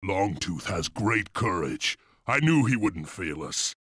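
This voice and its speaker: Husky, low-voiced male rebel wolf leader. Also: very animated, slow pace, fairly bright tone, dry recording, clean studio sound, full character voice. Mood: resolute. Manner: commanding, resolute. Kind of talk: dramatic video game voice acting.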